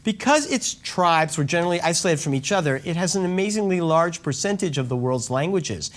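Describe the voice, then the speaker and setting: A quiz show host reading out trivia questions in a clear, measured way and calling on players by name. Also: medium pitch, expressive.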